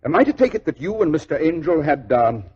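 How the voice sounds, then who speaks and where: An actor playing a shrewd, urbane gentleman detective, dry, courteous and probing, in 1940s radio drama style. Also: a little high, big pitch swings, quick pace. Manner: dry, probing, suavely ironic. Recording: dull, husky-sounding old radio recording.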